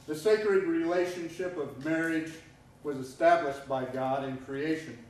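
Solemn middle-aged minister in echoey church. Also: male voice, fairly high pitch, distant mic, a little hiss or hum. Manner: measured, solemn liturgical delivery. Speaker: officiating minister. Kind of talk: wedding ceremony officiating. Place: church sanctuary. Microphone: far camera.

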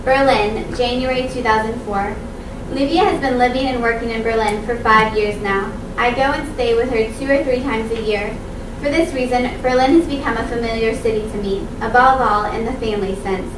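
A woman reads a text aloud at a seminar table in a measured, careful way, stumbling now and then. Her voice sits a little high. She is picked up from a distance, with the room echoing around her. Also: fairly even intonation. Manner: measured, careful reading with small restarts. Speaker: conference speaker reading excerpts. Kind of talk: reading a translated text aloud. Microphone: far room mic. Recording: echoey room, far from the mic, background noise.

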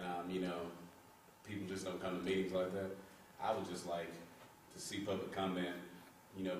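A man on a school board speaking earnestly in a meeting, with many pauses and ums. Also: low voice, a little hiss or hum. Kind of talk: meeting discussion. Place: large meeting room. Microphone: far camera. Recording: echoey room from far off.

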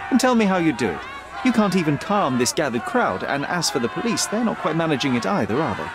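A confident young detective, lively pitch. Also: male voice, fast, crowd noise, background noise. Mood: persuasive, pointed. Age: young adult. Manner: articulate, polite but pointed. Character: confident young detective, persuasive, probing, self-assured. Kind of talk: video game cutscene acting.

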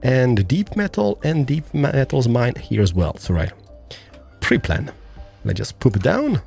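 A hoarse man losing his voice, hosting excitable gameplay commentary with sudden high-pitched exclamations. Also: a little slow, faint music behind. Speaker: gaming channel host.

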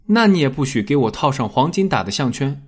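Man voicing a sullen, husky young boy. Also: medium pitch, big pitch swings, fairly quick. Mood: sullen, huffy. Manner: huffy, terse. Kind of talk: audiobook character acting.

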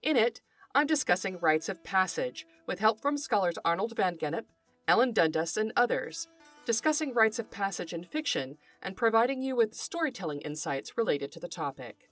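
A woman hosting an educational podcast, reading scripted narration in a measured, articulate and formal way. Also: mid-range voice, animated, fairly bright tone, faint music behind. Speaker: podcast host, folklore scholar.

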